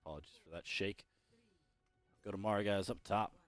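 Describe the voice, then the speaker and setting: Deep-voiced man casting, voice going hoarse. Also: a little fast, no room sound, faint music behind. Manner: rambling, self-correcting, chatty. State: losing his voice. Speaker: esports caster. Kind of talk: solo play-by-play commentary.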